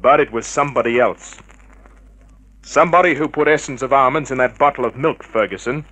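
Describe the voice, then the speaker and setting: A man acting as a cynical, tough private eye from an old radio serial. He, a mid-pitched voice and a clipped, hard-boiled delivery for both narration and confrontation. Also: a little fast, a bit bright, lightly acted, low-quality recording. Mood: confrontational. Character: tough, cynical male private investigator narrating his case. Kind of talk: 1950s radio crime drama narration and dialogue.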